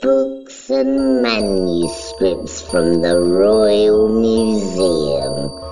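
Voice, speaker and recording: Very deep, slow, gravelly male narrator. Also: big pitch swings, music in the background. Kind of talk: scripted dramatic narration.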